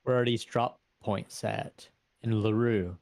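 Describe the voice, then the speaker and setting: A man takes part in a tabletop role-playing game, moving between his character's lines and describing actions. His voice is fairly low and recorded close and dry. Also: steady tone, slow, gravelly, darkish tone, no room sound.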